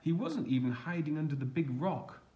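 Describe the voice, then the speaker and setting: A man with a slightly high voice reads a picture book aloud for children. He is expressive and deliberate, like a teacher, and leans on the action words. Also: highs cut off. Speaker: teacher and storyteller. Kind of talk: reading a children's storybook aloud.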